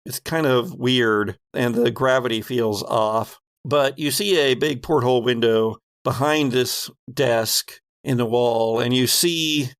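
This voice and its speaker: A man narrating a tabletop roleplaying game as game master, telling the scene in a halting, deliberate way. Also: mid-range voice, clean tone.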